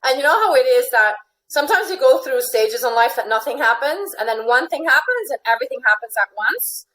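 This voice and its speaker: A woman's voice: high voice, animated, fairly quick, fairly bright tone, rough recording. Chatty woman with an American accent. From born in Finland, grew up in Venezuela. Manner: chatty, repeats phrases, laughs often. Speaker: interview guest, life and relationship coach. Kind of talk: casual conversational interview answers.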